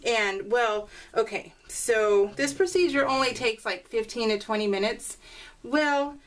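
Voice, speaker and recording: A woman's voice: a little high, a little slow, far from the mic, poor audio. A woman chatting casually in a rambling, personal storytelling way, like a crafting vlogger talking as she works. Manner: chatty, animated when retelling conversations. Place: indoors at a craft table.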